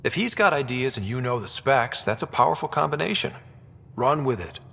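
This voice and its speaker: Husky young space explorer. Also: male voice, medium pitch, very animated, fairly quick, fairly bright tone, big-room sound, exaggerated voice. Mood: supportive. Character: young human male explorer, curious, supportive leader. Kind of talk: video game character acting.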